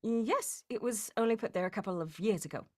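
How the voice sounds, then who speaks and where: A woman's voice: medium pitch, brisk, no room sound, clean studio sound, close to an everyday voice. An actress playing a proper, anxious young lady who seeks help in a live 1940s-style radio mystery, polite and earnest. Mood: earnest, nervous.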